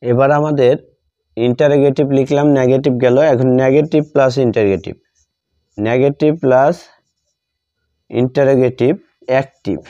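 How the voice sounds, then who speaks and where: A man with a Bengali accent teaching grammar step by step, dictating word by word in a halting, repetitive way. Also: mid-range voice, mellow, dull audio.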